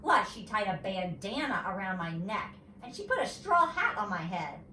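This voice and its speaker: A woman's voice: mid-range voice, sing-song, moderate pace, pushed and bright. A woman teacher reading a children's story aloud expressively, with chatty asides, recorded at a distance in an echoey room. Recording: roomy echo, distant mic, faint noise.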